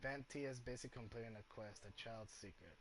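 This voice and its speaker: A man's voice: mid-range voice, brisk. A man giving casual gameplay commentary, thinking aloud in a hesitant way. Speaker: game streamer. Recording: very noisy mic over game sounds.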